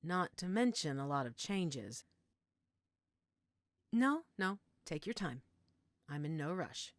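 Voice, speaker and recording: A woman playing a middle-aged motherly healer in an audio roleplay, gentle, reassuring and coaxing. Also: sits low, very animated, slow pace, dry recording, heavily acted. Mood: soothing. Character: calm motherly werewolf-den healer named Judith, tending a patient.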